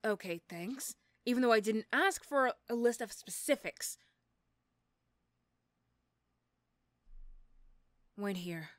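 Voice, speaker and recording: A woman's voice: on the high side, animated, moderate pace, no room sound, close to an everyday voice. A woman playing a mean but grudgingly sympathetic supervillain in an ASMR roleplay, with a dry, teasing, condescending manner. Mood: irritated, condescending. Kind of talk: ASMR roleplay character acting.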